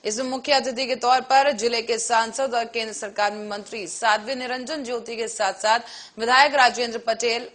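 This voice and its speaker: A woman's voice: a little high, a bit bright. A woman reading a television news bulletin as the anchor.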